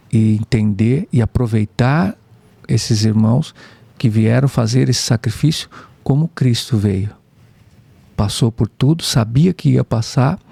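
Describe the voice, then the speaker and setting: A man speaks in a measured, reflective way. His voice is dark, gravelly and husky. The recording is dry and close. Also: mid-range voice, no echo. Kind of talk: spiritist study discussion.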